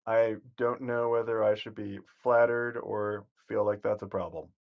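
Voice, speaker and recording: A man giving casual, rambling commentary on a game stream, with a calm, soothing voice and frequent self-corrections. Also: on the low side, normal speed, vocal fry, narrow, tinny audio. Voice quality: soothing, sleep-inducing voice.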